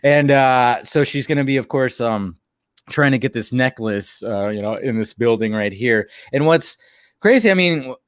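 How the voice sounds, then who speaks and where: Male co-host, casual rambling commentary, mid pitch, a little rough and deep today. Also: expressive, moderate pace, dry recording, studio quality. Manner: rambling, laughs often. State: voice a little deeper than usual after a late night. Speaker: co-host of a watch-along stream.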